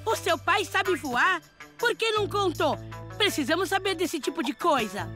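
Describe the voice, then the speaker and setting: A woman's voice: very high voice, normal speed, over music. A woman voicing a curious little vehicle child who asks a lot of questions, with a Brazilian accent. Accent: Brazilian Portuguese. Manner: inquisitive, excitable.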